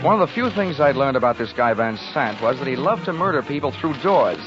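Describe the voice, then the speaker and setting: A male actor as a hard-boiled insurance investigator from an old radio detective show. His delivery is clipped and wisecracking, swinging freely up and down in pitch. The muffled vintage recording has music running behind it. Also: very animated, a little fast, natural voice. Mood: wry narrating. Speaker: lead actor and narrator of a radio detective drama. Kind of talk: 1940s radio acting.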